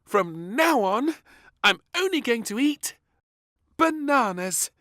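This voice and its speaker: A man voicing an excitable, bossy little girl princess in a children's storybook reading. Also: very high-pitched, slow pace, no room sound, pitched above the performer's own voice, full character voice. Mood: happy, determined.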